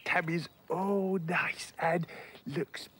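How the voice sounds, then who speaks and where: A woman's voice: on the low side, fairly even intonation, extremely slow, a bit bright, a little hiss or hum. A woman playing an eager, innocent little boy rag doll in a children's puppet show, with a simple, wondering, childlike delivery. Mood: gentle wonder.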